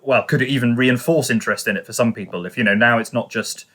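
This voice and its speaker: A man's voice: medium pitch, fast talker, a bit bright, husky. A man co-hosting a podcast in casual discussion, speaking in a hesitant, speculative way full of hedging. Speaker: podcast co-host.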